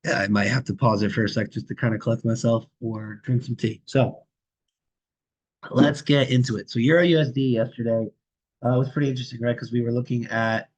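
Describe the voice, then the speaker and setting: A young man with a raspy voice and a sore throat, losing his voice, hosts a casual, chatty walkthrough of trading charts. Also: mid-range voice, poor audio. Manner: loose and chatty, many fillers and asides. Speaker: trading channel host.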